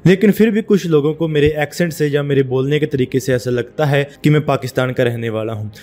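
Brief: Punjabi-accented male narrator for a defence explainer, steady and informative, a touch high-pitched. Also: a little fast, faint music behind. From India.

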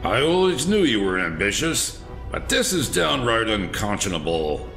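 A man's voice: on the low side, sing-song, normal speed, vocal fry, fairly bright tone, exaggerated voice. A man playing a weary, principled older police commissioner, grave and earnest, in an audio drama. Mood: stern. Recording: echoey reverberant space, music behind.

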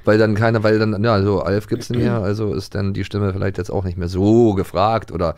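A man's voice: on the low side, fairly even intonation, normal speed, a little mellow, no echo, clean studio sound. A man co-hosting a podcast in casual chat, rambling and jokey with lots of restarts. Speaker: podcast co-host.

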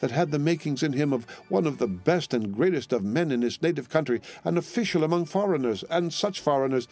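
A man's voice: a little high, expressive, a little fast, darkish tone, pitched above the performer's own voice. A man voicing a tearful, loving English woman, a doting sister, in a wailing, dramatic manner for a storybook. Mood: mournful, reproachful. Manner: wailing, mournful, exclamatory.

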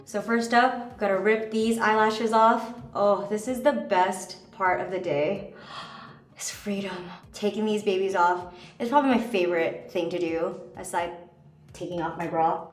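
A woman's voice: mid-range voice, steady tone, unhurried. A young woman in her twenties, chatting casually through a skincare routine in a bathroom, bubbly and chatty. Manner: bubbly, chatty, lots of "like" and "just". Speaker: vlogger. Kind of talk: casual skincare tutorial talk. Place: hotel bathroom at the mirror. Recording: echoey bathroom, faint music.

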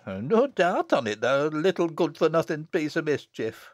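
A man's voice: a little high, very animated, unhurried, dry recording, clean studio sound, voice pushed up. A man voicing a blunt but easily cowed village lass with a Lancashire accent in an audiobook. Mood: muttering. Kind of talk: audiobook character acting.

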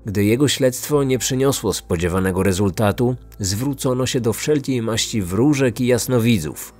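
A man's voice: mid-range voice, normal speed, darkish tone. A man narrating a scripted true-crime podcast as its host, in documentary style. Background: music.

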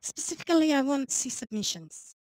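A woman's voice: sits high, very animated, a little fast, darkish tone, dry recording, rough recording. A woman giving rambling, casual commentary over a wrestling video game, with many fillers. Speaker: gameplay commentator.

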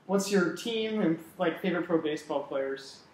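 A man's voice: fairly high pitch, animated, moderate pace, darkish tone. A young man hosting a casual sports interview, chatting informally with his guests. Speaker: interviewer, host. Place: living room, indoors. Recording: echoey room with faint background noise.

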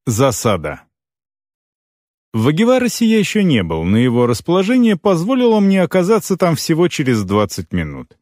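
A man narrating scripted first-person fiction as an audiobook reader, expressive, with deliberate phrase breaks. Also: medium pitch, dry recording, clean studio sound.

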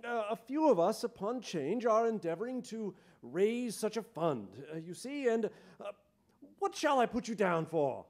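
A man playing a polite, earnest charity collector in a staged radio drama, courteous and haltingly stammering. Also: sits high, big pitch swings, darkish tone, full character voice. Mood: flustered, insistent. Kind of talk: live staged reading of 1930s radio drama. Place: theatre stage, live performance. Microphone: boom-stand stage microphone.

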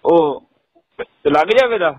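A man's voice: fairly high pitch, animated, takes it slowly, no room sound. A man in his fifties with a Punjabi accent, making a comic phone call in a pleading, insistent, rustic and repetitive way. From Punjab, India. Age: 50s. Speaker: prank caller.

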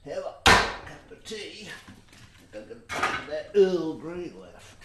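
A loner mumbling to himself, slow, echoey noisy room, radio drama. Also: male voice, a little high, big pitch swings. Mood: muttering, busy. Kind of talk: naturalistic audio drama acting. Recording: noisy, echoey, distant from mic.

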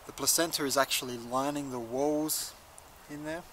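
A man's voice: medium pitch, fairly even intonation, normal speed, a bit bright, a little hiss or hum. A young man giving a casual, laid-back review to camera in a conversational way. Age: young adult. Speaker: chilli reviewer, video host. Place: outdoors in a leafy garden.

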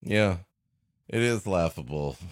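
Lowish voiced guy doing jokey podcast banter, riffing, dry close sound. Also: expressive, takes it slowly, dry recording. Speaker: podcast co-host. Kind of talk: joking, riffing comedy banter.

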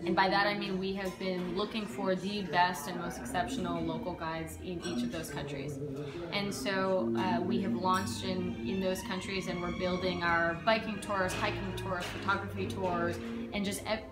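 A woman's voice: fairly low pitch, little pitch movement, normal speed. A young woman from Chile giving explanatory answers as a company founder in an interview. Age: young adult. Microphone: camera a few feet away. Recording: roomy echo, loud music behind.

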